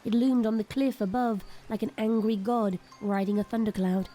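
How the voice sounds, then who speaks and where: A woman narrating a scripted audio drama in a measured, ominous storytelling manner. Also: fairly high pitch, steady tone, dark tone. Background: music.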